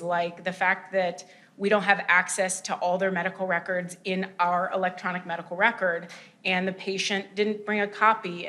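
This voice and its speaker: A woman's voice: mid-range voice, pushed and bright. A woman clinician on a panel, working through a point in long, thoughtful sentences. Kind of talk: panel discussion remarks.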